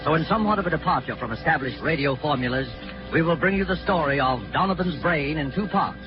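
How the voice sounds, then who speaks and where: Dull vintage radio sound with music underneath. A male host with a slightly high voice makes a formal, dramatic introduction in measured 1940s announcer style. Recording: muffled 1940s radio recording, music behind.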